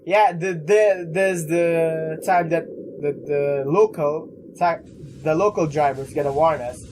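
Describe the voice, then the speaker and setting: A young man doing a let's play, haltingly reading game text aloud with casual commentary. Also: fairly high pitch, noisy background. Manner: halting, stumbles while reading.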